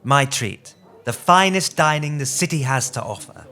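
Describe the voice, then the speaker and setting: A man voicing a flirtatious wealthy woman with a smooth, coaxing manner in an audio drama. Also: mid-range voice, expressive, moderate pace, sharp tone.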